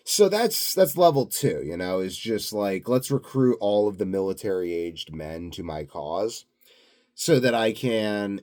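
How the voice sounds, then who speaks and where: A man's voice: low-pitched, a little mellow. A self-assured, blunt man rambling unscripted to his viewers in a grandiose solo monologue. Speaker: solo video host.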